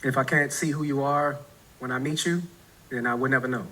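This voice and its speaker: A man's voice: medium pitch, fairly even intonation, fairly quick, pushed and bright, low-quality recording. A man in his forties with a New Orleans accent, a dating show contestant answering questions in a laid-back way and often tagging on "right?". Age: 40s.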